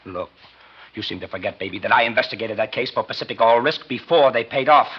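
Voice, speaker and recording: An actor playing a smug, scheming American blackmailer in a 1940s radio drama, talking in sly, insinuating slang with a husky voice. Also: male voice, mid-range voice, fast talker, lightly acted. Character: smug scheming male blackmailer, fired insurance investigator. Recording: muffled 1940s radio recording.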